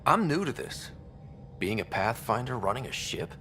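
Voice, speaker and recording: An actor playing an earnest young expedition leader in a video game, speaking in a casual, sincere way. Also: male voice, mid-range voice, sing-song, moderate pace, bright, forceful tone, a little hiss or hum. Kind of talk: video game cutscene acting.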